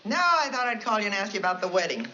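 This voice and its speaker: A woman's voice: medium pitch, sing-song, rapid-fire, slight background noise. A woman playing a chatty married woman gossiping on the phone, firing off punchlines and laughing at them. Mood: gossipy. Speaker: comedienne doing a phone monologue. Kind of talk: 1960s sitcom acting. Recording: dull old TV audio with loud studio audience.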